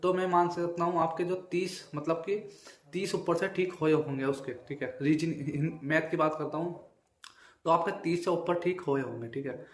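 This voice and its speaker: A man's voice: a little high, moderate pace. A young man giving an informal, emphatic exam analysis to viewers, often repeating himself and adding check-in tags. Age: young adult. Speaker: exam-prep video creator and exam candidate. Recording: echoey untreated room.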